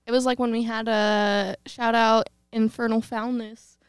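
A woman's voice: a little high, flat delivery, unhurried, clear, resonant tone, no room sound, studio quality. A woman from rural Texas with a slight twang, chatting casually as a podcast co-host in a playful, giggly way with frequent interjections. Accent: American, slight Texas twang. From rural Texas, United States. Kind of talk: casual chat.

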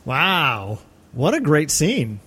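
Higher-pitched man co-hosting a podcast. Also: very animated, very slow, fairly bright tone, a little hiss or hum. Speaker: podcast co-host. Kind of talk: casual film discussion.

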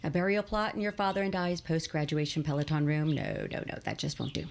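A woman's voice: sits low, steady tone, a little fast, creaky, slight background noise. An actress playing a strict mother with dry, sarcastic delivery in an audio drama. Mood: sarcastic, stern. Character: dry, strict mother nagging her teenage daughter.

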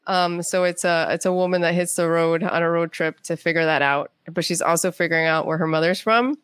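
A woman's voice: fairly low pitch, steady tone, a little fast, a bit bright, clear, resonant tone, dry recording, studio quality. A woman speaking casually as an interview guest, a writer telling stories in a chatty way and acting out bits of quoted conversation.

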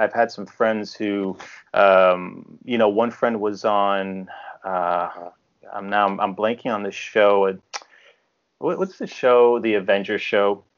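Low-voiced man chatting haltingly, dull audio. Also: steady tone. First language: French. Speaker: actor being interviewed. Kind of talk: casual interview chat.

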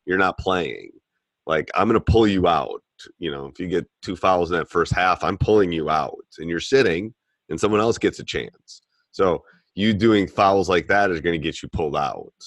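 A man with a very low, deep voice talks through how he coaches, plain-spoken and repeating his points. The sound is dry and close, with no room around it. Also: lively intonation, normal speed, a bit bright, dry recording. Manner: plain-spoken, repeats phrases, acts out what he tells players. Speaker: basketball coach giving advice. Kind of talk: casual advice chat.

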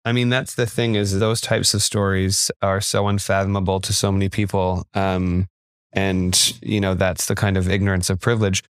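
Man, interview host, deep voice, measured, polished, dry close sound. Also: flat delivery, clear, resonant tone, no room sound. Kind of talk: interview questions.

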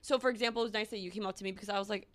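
A young woman with a deep voice for a woman co-hosts a casual podcast chat. She laughs easily and trips over her own restarts as she talks in quick bursts, all at a middling pitch. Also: fairly even intonation. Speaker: podcast co-host.

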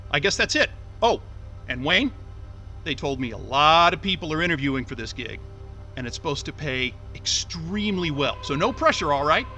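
Chatty, high-voiced flippant guy. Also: very animated, moderate pace, bright, forceful tone, faint music behind. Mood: breezy, wry. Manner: breezy, rambling, casual. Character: chatty, flippant man recording a video message to himself. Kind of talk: naturalistic audio drama acting.

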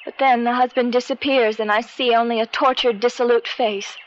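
A hurt, lovelorn wife , acted by a woman in a radio drama, torn over her estranged husband. Her voice is high and the recording is somewhat dull. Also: fairly even intonation, a little fast, slight background noise, natural voice. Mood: anguished. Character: wounded, lovelorn estranged wife confiding in priest or father. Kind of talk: 1970s radio drama acting.

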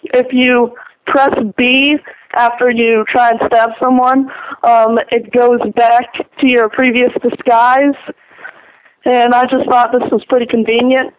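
Dull lo-fi voicemail, caller talking slow and really flat, hesitant, smooth voice. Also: mid-range voice. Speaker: listener leaving a voicemail. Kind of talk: casual voicemail tip. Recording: dull lo-fi phone recording.

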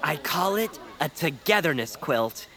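A woman's voice: deep voice, lively intonation, a little slow, a crowd in the background. A woman playing an earnest, nervous optimist in an audio fiction drama, speaking in a hesitant, sincere way. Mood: hopeful.

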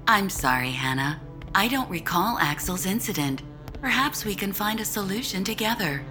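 A woman playing a calm, polite ship's computer in an audio drama, speaking in formal, measured, even tones. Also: mid-range voice, over music, natural voice. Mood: calm, apologetic.

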